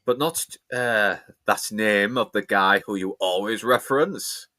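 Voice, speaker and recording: A man's voice: fairly low pitch, a little slow, pushed and bright, no room sound, studio quality. A Scottish man hosting a casual movie watch-along podcast, talking bluntly and swearing freely.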